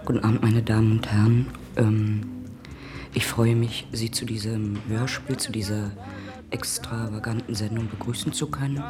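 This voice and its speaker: An earnest woman radio editor rambles through a radio-play monologue in a halting, easily unsettled way. Her words come hesitantly, as if feeling for each one, with music playing clearly behind her. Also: rumbling low, fairly even intonation.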